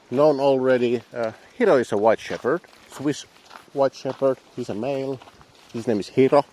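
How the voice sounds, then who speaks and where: A man with a Finnish accent, Finnish as his first language, narrating a casual walk outdoors in halting English with wry, self-deprecating asides, recorded on a handheld camera. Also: medium pitch, a little mellow, a little hiss or hum. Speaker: vlogger walking his dogs. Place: outdoors on a boardwalk through a swamp. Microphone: handheld camera mic.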